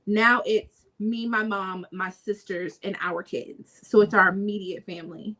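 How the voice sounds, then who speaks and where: A woman's voice: mid-range voice, steady tone, measured pace, fairly bright tone. A young adult woman co-hosting a podcast in casual chat, talking with lots of fillers and frequent laughter. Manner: chatty, laughs often, many fillers. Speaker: podcast co-host.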